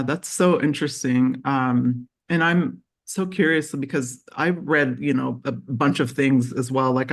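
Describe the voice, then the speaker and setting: Gravelly chatty male podcast host. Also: medium pitch. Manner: chatty questions, many fillers. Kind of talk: casual interview talk.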